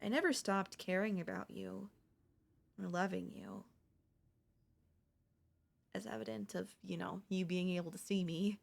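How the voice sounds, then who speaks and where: A woman's voice: medium pitch, a little slow, creaky, long pauses. A woman voicing a cute, affectionate young ghost girl in an intimate ASMR audio roleplay, casually frank and hesitant. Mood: tender, reluctant. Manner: casual, hesitant, matter-of-fact about grim things. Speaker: voice actor.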